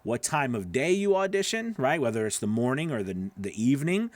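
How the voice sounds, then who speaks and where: A man's voice: mid-range voice, sing-song, a little fast, no echo. A man in his thirties or forties coaching voice actors in an informal, explanatory way, often checking in with the listener, close on a studio microphone. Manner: conversational, explanatory, frequent "right?" and "okay" check-ins. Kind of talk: informal tutorial talk to camera. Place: small home studio with acoustic panels, indoors. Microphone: close broadcast microphone on boom arm.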